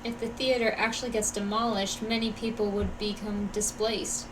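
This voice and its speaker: A woman's voice: medium pitch, little pitch movement, normal speed, slight background noise, low-quality recording. A woman live streamer casually commenting on a game and reading bits aloud.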